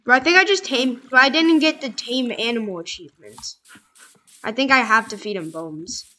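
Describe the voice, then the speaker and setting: A young woman playing a block-building game with a friend, chatting casually, excitable and insistent, dropping to murmurs while she plays. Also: on the high side, moderate pace. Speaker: gamer. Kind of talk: casual let's play chat.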